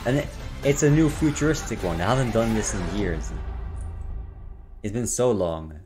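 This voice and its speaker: Man, livestreamer, low voice, rambling, swearing, music behind. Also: expressive, normal speed. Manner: rambling, swearing, stumbling restarts. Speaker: livestreamer reacting to a game showcase. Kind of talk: casual live-stream chat. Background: showcase audio with music.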